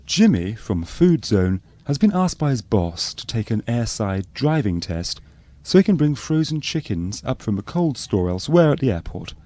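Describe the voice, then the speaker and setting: A man's voice: medium pitch, very animated, moderate pace, warm tone, dry recording, lightly acted. A man playing an earnest narrator, reading scripted narration for a spoof docu-soap on radio. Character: earnest male documentary narrator.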